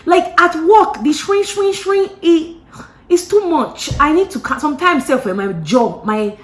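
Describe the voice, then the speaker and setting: A woman vlogger casually telling a gossipy story to her viewers, animated and full of playful asides. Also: high-pitched, measured pace. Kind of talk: casual gossip chat to camera. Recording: roomy echo.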